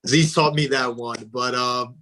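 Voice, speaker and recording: A man in his thirties, from Liberia, speaks as a guest on a radio interview. He is earnest and measured, slipping in light humor. His voice sits at a middling pitch. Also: steady tone, sharp tone, poor audio.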